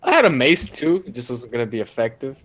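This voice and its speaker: This is a man of average pitch playing in a streamed game. He banters casually with friends. He jokes, often at his own expense. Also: big pitch swings, fast talker, fairly bright tone. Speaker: player in a streamed tabletop game.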